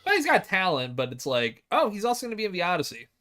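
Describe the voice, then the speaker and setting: A man with a fairly high voice talks casually as a podcast co-host. His language is loose and sweary, as in an informal group chat. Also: wildly swooping pitch, fast, sharp tone. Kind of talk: casual group chat about films and games.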